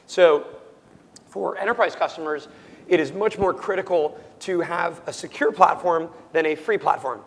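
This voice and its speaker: A young man whose voice is going hoarse and cracks now and then, answering interview questions at near-shouting volume and restarting his sentences. His voice sits a little high for a man. The recording carries a long, lingering reverb. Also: normal speed. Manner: loud, nearly yelling, full of ums and restarts. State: losing his voice, prone to cracking. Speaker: startup founder being interviewed.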